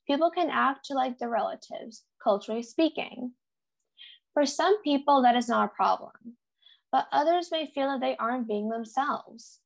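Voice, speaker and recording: A woman's voice: sits high, gravelly. A teenage young woman with an American accent, co-hosting a youth podcast and reading from a prepared script. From United States.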